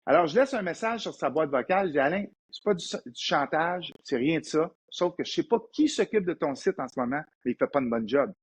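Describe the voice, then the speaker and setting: A man in his fifties with a Québécois accent, an entrepreneur guest telling lively anecdotes in a casual interview. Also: a little high, brisk, hardly any pauses, slight background noise. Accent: Québécois French. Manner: animated anecdotal storyteller, frequent restarts.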